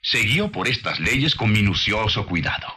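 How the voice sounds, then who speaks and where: A man delivering scripted narration in a deliberate, didactic way, with the rhetorical delivery of a preacher. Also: fairly low pitch, very animated, sharp tone, slightly rough.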